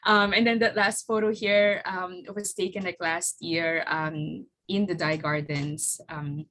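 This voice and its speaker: A woman's voice: on the low side, level tone, a little slow, a bit bright. A woman in her forties from the Philippines, with Tagalog as her first language, presents in an easygoing way. Her speech is hesitant, full of ums and restarts. She sounds like she is talking on a video call. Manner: hesitant, many fillers and restarts. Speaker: guest speaker presenting her flower farm. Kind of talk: casual presentation.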